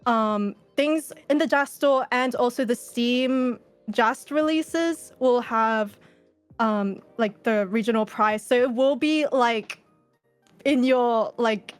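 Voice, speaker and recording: A woman's voice: on the high side, takes it slowly, smooth, faint music behind. A woman with an Australian accent giving a casual, informal fan talk as a panel presenter, swearing now and then. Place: indoors, virtual convention presentation.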